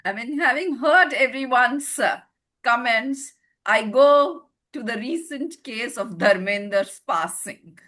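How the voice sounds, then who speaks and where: A woman giving her opinion in a group discussion on a video call, in a deliberate, reflective, moralizing way. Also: fairly high pitch, animated, a bit bright. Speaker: book club participant.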